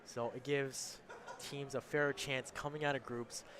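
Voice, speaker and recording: A man conducts a relaxed on-site interview at a gaming event. His voice sits at an average pitch and sounds slightly worn, as if he is losing it. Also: a little slow. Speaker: esports interviewer. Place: large indoor gaming event venue.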